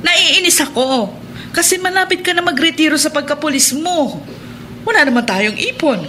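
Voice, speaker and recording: An actress playing a nagging, resentful middle-aged Filipino wife in a radio drama, sulky and accusing. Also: female voice, high voice, lively intonation, moderate pace, bright, forceful tone, slight background noise.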